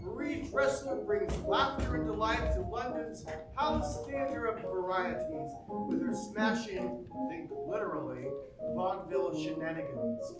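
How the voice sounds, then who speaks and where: An actress voicing a zestful theatre reviewer, reading a scripted notice in a staged musical. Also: female voice, medium pitch, a little slow, lightly acted. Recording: distant echoey stage, loud music.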